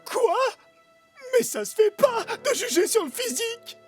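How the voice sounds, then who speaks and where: A loyal, eager male boar in a children's cartoon. The voice is extremely high and bounces excitedly all over the place, over music. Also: unhurried. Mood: indignant. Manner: excitable, encouraging. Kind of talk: French children's cartoon voice acting.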